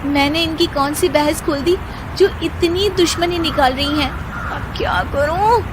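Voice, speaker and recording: A woman's voice: sits high, measured pace, noisy background, voice pushed up. A woman voicing a polite, bewildered young newlywed bride in a cartoon story. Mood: flustered. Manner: polite, flustered, talks to herself.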